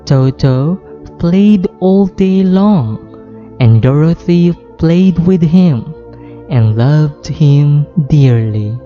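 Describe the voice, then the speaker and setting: Female children's story narrator: very unhurried, measured, lively intonation, slightly dull recording with music. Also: deep voice.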